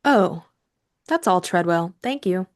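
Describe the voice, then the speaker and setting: Casting: actress as determined young aristocrat, brisk and direct, slightly low female voice, mystery audiobook. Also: expressive. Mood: brisk, inquisitive.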